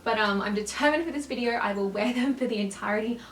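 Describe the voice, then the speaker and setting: A young woman vlogging in a casual, upbeat way, with a slight lisp and a quick laugh. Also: fairly high pitch, a little hiss or hum. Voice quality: slight lisp from clear aligners. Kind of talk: casual chat to camera. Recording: roomy echo.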